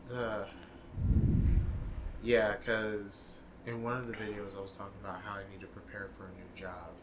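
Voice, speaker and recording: A young adult man co-hosting a casual podcast, talking in a halting, hesitant way with many fillers and restarts. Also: lowish voice, flat delivery, heavy background noise. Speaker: podcast co-host and video editor. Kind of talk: casual chat.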